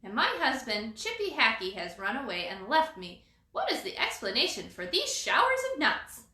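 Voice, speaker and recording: A woman's voice: a little high, wildly swooping pitch, moderate pace, sharp tone, full character voice. A woman voicing a fussy, aggrieved chipmunk wife in a storybook, chatty and emphatic in her complaints. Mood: indignant. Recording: roomy echo.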